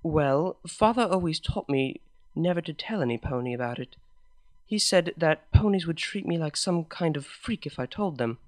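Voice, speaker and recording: A man's voice: a little high, lively intonation, normal speed, no echo, lightly acted. A man reading as an earnest young mare.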